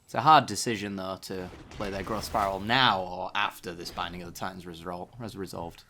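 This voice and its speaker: A man's voice: low-pitched, fairly even intonation, a little fast, bright, forceful tone, a little hiss or hum. A man giving casual, chatty card game commentary with dry sarcasm, still getting over a tickly sore throat. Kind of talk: gameplay commentary.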